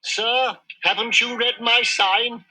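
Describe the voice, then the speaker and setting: A man voicing a polite, brave storybook mouse dentist, courteous and emphatic, pitched up high. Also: steady tone, takes it slowly, sharp tone, heavily acted, low-quality recording. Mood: firm, shouting. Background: music.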